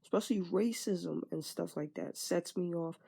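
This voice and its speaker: A woman's voice: lowish voice, moderate pace, vocal fry, dark tone, breathy edge. A woman in her twenties frankly venting in a candid personal monologue, swearing casually and trailing off with fillers. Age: 20s. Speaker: vlogger.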